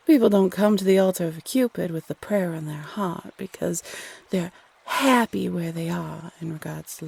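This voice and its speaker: Female Cupid, played by a woman, roleplay audio: sultry, playfully condescending, creaky and husky, lingering over phrases. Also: on the low side, a little mellow, exaggerated voice. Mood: teasing, coaxing. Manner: sultry, coy, teasing, drawn-out phrasing. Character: teasing, flirty female Cupid spirit, playfully condescending to humans. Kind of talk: intimate roleplay audio acting.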